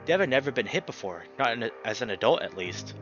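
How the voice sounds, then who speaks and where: A man gives a dramatic, highly animated read of a visual novel. His delivery is expressive and free with swearing. The sound is slightly dull, with music behind him. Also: fast. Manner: expressive, exclamatory, swears freely. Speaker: let's player reading a visual novel aloud. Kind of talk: dramatic narration with casual reactions.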